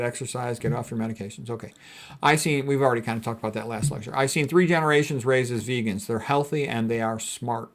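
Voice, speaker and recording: A man with a mid-pitched voice lectures informally in the role of a doctor. He explains his material in a blunt, conversational way, moving between reading aloud and commenting off the cuff.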